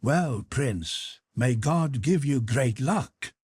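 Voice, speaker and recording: A timid young servant woman parting with her cloak, as a male narrator puts her on. She speaks hesitantly with a marked accent. The voice stays a bit husky and lively. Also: medium pitch, drawn-out words, dry recording, exaggerated voice.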